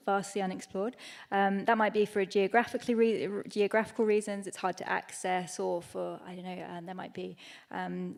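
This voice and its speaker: A woman's voice: mid-range voice, a little mellow. A woman explorer speaking as a panel guest, hesitant with many fillers but upbeat. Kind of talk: live panel discussion. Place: lecture hall with audience.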